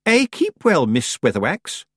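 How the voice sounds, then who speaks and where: A man voicing a pompous, falsely polite middle-aged woman witch with a high, sugary voice. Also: wildly swooping pitch, unhurried, a bit bright, dry recording, clean studio sound, heavily acted. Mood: falsely sweet. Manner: falsely polite, gushing, grave.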